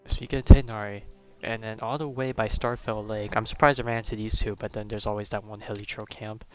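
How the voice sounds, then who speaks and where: A man streams a video game with casual commentary. His voice is fairly low and he rambles in a laid-back way, with soft music behind him. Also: little pitch movement, brisk, muffled recording. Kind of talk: casual live gameplay commentary.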